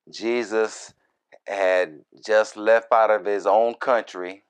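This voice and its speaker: Slow, low-voiced man teaching scripture. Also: clear, resonant tone. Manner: deliberate, broken short phrases, folksy asides. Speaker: minister, Bible teacher. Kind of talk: verse-by-verse scripture reading with informal commentary.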